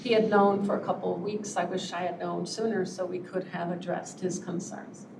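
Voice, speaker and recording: A woman applying for a zoning variation, answering in a hesitant, halting way. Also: lowish voice, little pitch movement, creaky. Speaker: applicant. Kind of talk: hearing testimony. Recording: echoey room, distant mic, noisy.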